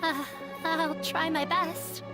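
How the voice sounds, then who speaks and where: Shy young woman, high voice, hesitant, hopeful, fantasy game, music behind. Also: level tone, takes it slowly.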